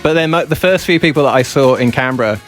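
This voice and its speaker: A man's voice: medium pitch, quick pace, soft background music. A man with an English accent from Yorkshire, hosting a casual radio interview in a chatty, joking way and tripping over his words. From England. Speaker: radio host. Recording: radio studio.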